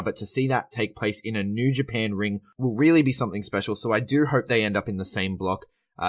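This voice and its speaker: A man hosting a podcast news roundup, chatty and enthusiastic while his voice is going hoarse. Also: on the low side. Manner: chatty, enthusiastic with frequent uh fillers. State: losing his voice. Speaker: podcast host.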